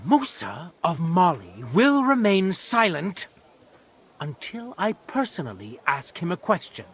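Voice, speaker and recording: Shrewd medieval nobleman, imperious, sardonic, very animated intonation, fairly high male voice, video game drama. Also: slight background noise, full character voice. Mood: sceptical, commanding. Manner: measured, imperious, dryly sardonic. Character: stern, shrewd, authoritative medieval nobleman sitting as judge.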